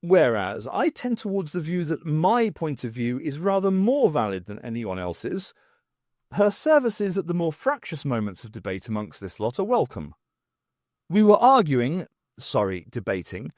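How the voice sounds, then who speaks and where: A man reading a first-person story aloud as an expressive audiobook narrator, with wry, dramatic, emphatic phrasing. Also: a little high, no echo, clean studio sound. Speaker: audiobook reader.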